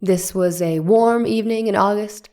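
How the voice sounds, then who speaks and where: A methodical defense lawyer , played by a woman, in a courtroom audio drama. The voice is mid-pitched, the questioning polite and measured but persistently pressing. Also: a little mellow, natural voice. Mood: methodical, probing. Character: methodical, persistent male defense lawyer cross-examining a witness. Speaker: actor playing a defense lawyer. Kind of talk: dramatic reading of a trial transcript.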